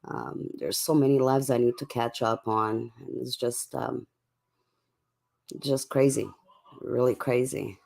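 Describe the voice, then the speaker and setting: A livestream host chatting casually and in a laid-back way to viewers late at night, with a voice going hoarse from a cough. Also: medium pitch, steady tone, slow pace, darkish tone. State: sick with a cough, voice getting hoarse.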